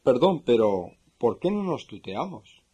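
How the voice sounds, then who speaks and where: Man playing a courteous spanish gentleman, castilian accent, lively intonation, slightly husky, very slow. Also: mid-range voice, muffled recording. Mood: sociable. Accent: Spanish from Spain. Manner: slow and clearly spoken for learners. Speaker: dialogue speaker in a language course. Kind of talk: scripted language-course dialogue.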